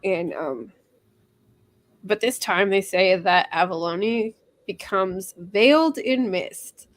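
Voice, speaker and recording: A woman's voice: medium pitch, expressive, slow pace. A woman hosting a casual book discussion in a chatty, informal and slightly tentative way. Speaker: discussion host.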